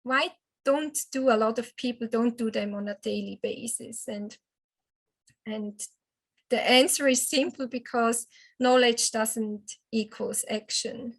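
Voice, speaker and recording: A woman with a Swiss German accent and a slightly high voice tells her story as a health and wellness coach. She is earnest and halting, often restarting her sentences. Swiss German is her first language. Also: steady tone, fairly bright tone. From Switzerland. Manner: earnest, with frequent restarts and hesitations. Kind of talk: personal testimonial.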